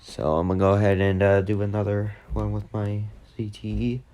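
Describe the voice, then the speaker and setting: A man giving a casual, unscripted tech demo in a halting, repetitive way that tends to trail off. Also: lowish voice, level tone, darkish tone. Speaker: video host demonstrating a walkie-talkie app.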